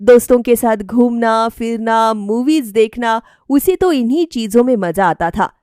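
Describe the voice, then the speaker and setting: A female storyteller narrates a scripted moral tale. Her voice sits on the high side, and the recording is dry and close. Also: measured pace, darkish tone, smooth, no echo.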